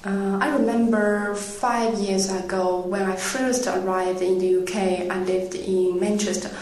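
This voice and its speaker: A woman whose first language is Chinese tells a personal story in a halting, hesitant way. Her voice stays flat, and she sounds far from the microphone in a very echoey room with faint background noise. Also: mid-range voice. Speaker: student interviewee. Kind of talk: personal anecdote.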